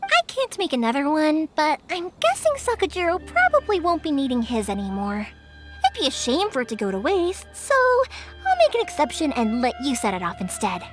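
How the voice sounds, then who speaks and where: A woman's voice: sits high, brisk. A woman voicing an upbeat, talkative, perceptive young fireworks maker in a video game. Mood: playful, kind. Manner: lively, encouraging, talkative. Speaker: video game character actor. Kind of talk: animated anime-style game acting. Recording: music behind the voice.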